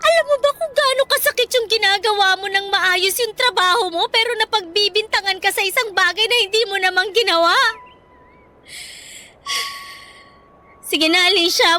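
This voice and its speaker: High-voiced, wounded, reproachful housemaid. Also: female voice, fairly quick, few pauses. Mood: cold, bitter. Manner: emotional, accusing, emphatic. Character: betrayed, hurt housemaid, older-sister figure, bitterly reproachful. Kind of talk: Tagalog radio drama acting. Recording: music playing behind the voice.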